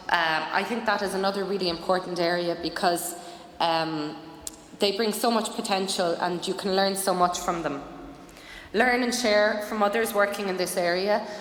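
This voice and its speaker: A woman's voice: mid-range voice, a bit bright. A woman giving an earnest conference presentation, with frequent fillers. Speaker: conference speaker. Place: conference hall. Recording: echoey hall, faint noise.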